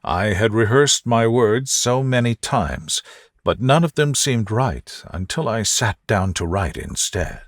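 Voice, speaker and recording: A man voicing an earnest, reserved gentleman in love with a low, tender, measured manner, in a bedtime storybook. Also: expressive, dry recording, clean studio sound. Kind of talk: soothing bedtime storybook dialogue.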